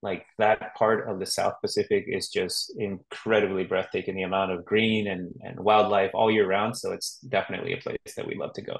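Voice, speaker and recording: A middle-aged man from Honduras talks casually as an interview guest. His voice sits a little low, and he rambles easily, with plenty of fillers and restarts. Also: little pitch movement, a little fast, creaky, few pauses, rough recording. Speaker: real estate agent guest.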